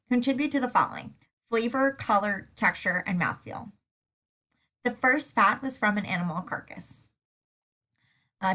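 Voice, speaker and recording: A woman teaching a recorded food science lecture while stuffed up with a sore throat, relaxed and full of fillers. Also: mid-range voice. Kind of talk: recorded lecture over slides.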